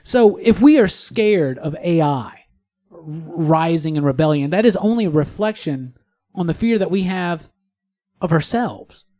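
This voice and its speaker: Guy hosting a podcast, pretty high voice, chatty and effusive, repeats himself for emphasis. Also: animated, unhurried, mellow. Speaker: podcast host.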